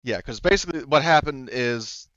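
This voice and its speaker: A man improvising narration as a tabletop game master, rambling and haltingly correcting himself as he goes. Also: medium pitch, expressive, a bit bright, dry recording, muffled recording. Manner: rambling, halting, self-correcting, full of "you know".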